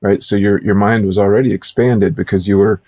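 Mellow-voiced male podcast interviewer. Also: lowish voice, steady tone. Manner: rambling, restarts, quick asides. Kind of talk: casual interview chat.

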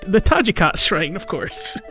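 A man's voice: high-pitched, sing-song, measured pace, pushed and bright, lo-fi sound. A man hosting a casual podcast chat about a video game, often repeating and restarting words as he explains. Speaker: podcast host. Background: music.

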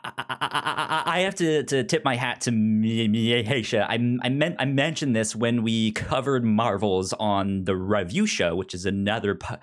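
Halting male podcast host, medium pitch. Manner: halting, stammering on word starts. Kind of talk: casual podcast chat.

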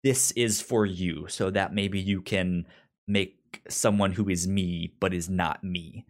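Slow-talking man, halting and conversational. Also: low voice, steady tone. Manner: halting, stammering, repeats words. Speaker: podcast co-host. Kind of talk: casual comic book discussion.

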